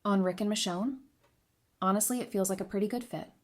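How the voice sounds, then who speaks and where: A woman's voice: medium pitch, brisk, natural voice. Actress as thoughtful, candid woman advisor. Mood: frank, considered. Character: perceptive, candid woman advisor who reads people. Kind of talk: fan audio drama dialogue.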